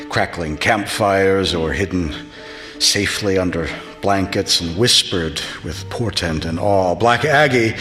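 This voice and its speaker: A male narrator with a deep voice introduces a play in scripted storytelling style. He is measured and portentous, with music playing behind him. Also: fairly bright tone.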